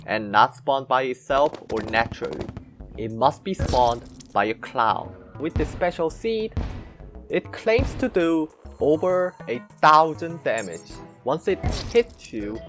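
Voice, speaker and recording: A gaming video narrator of mid pitch, speaking slowly with wide swings in intonation over music. The delivery is scripted but halting, with key words leaned on. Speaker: video game channel host. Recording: background music under voice-over.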